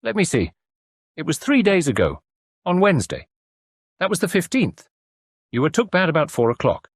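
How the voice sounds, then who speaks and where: A man's voice: a little high, huge pitch swings, moderate pace, slightly rough, dry recording, clean studio sound, voice pushed up, full character voice. A man voicing a kindly, good-tempered farm woman who chats in homely country speech. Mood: cheerful. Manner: homely rural speech, chatty.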